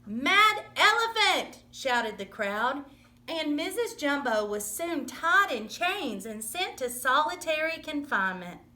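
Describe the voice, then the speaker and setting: A woman reading a children's story aloud in an expressive, dramatic storytelling manner. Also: sits high, a little slow. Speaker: storybook reader.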